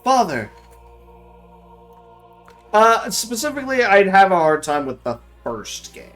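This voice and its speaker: A man's voice: high-pitched, sing-song, moderate pace, a bit bright. A man giving casual, self-deprecating gaming commentary with a co-host, speaking with a speech impediment. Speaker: let's play host reading game dialogue aloud. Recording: game music behind the voice. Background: video game music.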